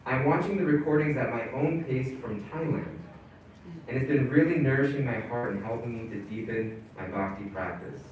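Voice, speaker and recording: A man leading a community town hall, talking in a halting, casual way with many fillers and restarts. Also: mid-range voice, a little mellow, a little hiss or hum. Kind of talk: casual spoken presentation. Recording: echoey hall, voice from far off.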